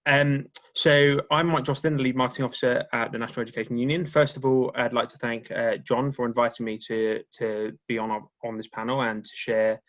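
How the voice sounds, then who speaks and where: A man, a union marketing officer, giving a presentation on a conference call in a halting way, with many restarts and repeated words. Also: mid-range voice, little pitch movement, muffled recording. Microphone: remote call audio.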